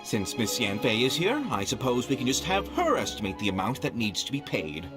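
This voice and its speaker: A fussy male merchant, acted by a man, theatrical and easily indignant. His mid-pitched voice swings wildly up and down in game-dialogue style, over loud background music. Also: big pitch swings, fairly quick, lightly acted. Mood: shocked, exasperated. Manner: theatrical, polite turning exasperated. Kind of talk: video game voice acting.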